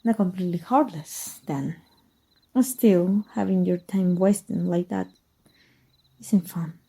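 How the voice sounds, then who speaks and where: A woman from Mexico voicing a scheming former magic teacher in an ASMR roleplay, speaking softly in a teasing half-whisper. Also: lowish voice, slow, gravelly, slightly rough, heavily acted. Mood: sly teasing. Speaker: voice actor.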